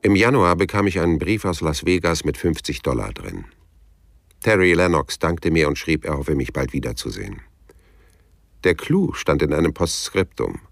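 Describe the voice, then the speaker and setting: A man's voice: rumbling low, big pitch swings, normal speed, vocal fry, no room sound, exaggerated voice. An actor playing a laconic, world-weary private detective who narrates his story dryly in a noir radio drama. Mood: matter-of-fact. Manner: dry, laconic. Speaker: narrator and lead character.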